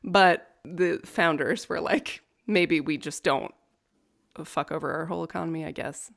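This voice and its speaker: Low-voiced young woman on a podcast, telling a history story, sweary and wry, casual. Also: steady tone, a little slow, gravelly. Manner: wry, profane, nerdy about law. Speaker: podcast co-host.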